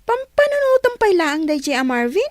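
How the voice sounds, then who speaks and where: A woman's voice: extremely high pitch, sing-song, brisk, no echo, studio quality. A woman with an Ilocano accent playing an exasperated younger sister in a radio drama, scolding and urging emphatically. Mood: exasperated advising.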